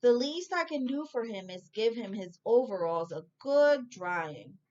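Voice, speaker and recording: A woman's voice: on the high side, animated, a little slow, darkish tone, dull audio. A woman voicing a kindly young man, an artist, in a storybook. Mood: thoughtful, kind. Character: young male artist, startled yet kindly. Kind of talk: storybook character voice.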